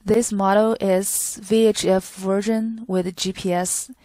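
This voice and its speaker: A woman's voice: mid-range voice, little pitch movement, slow pace, warm tone, no room sound. A woman reading a scripted product tutorial in a stilted, phrase-by-phrase way. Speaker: product demonstrator.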